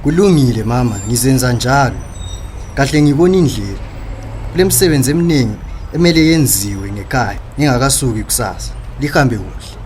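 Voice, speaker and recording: A man's voice: mid-range voice, fairly quick, a little mellow, a little hiss or hum, lightly acted. A man with a Zimbabwean accent playing a concerned, respectful, frank working man in a radio drama. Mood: friendly, concerned. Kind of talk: radio drama acting in Ndebele.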